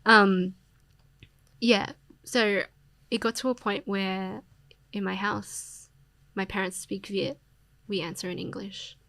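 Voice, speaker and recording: Young Australian woman, reflective podcast guest, some vocal fry, dry close mic. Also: medium pitch, steady tone, slow pace, no room sound. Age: young adult. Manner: reflective, with frequent fillers and soft laughs. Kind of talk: casual interview conversation.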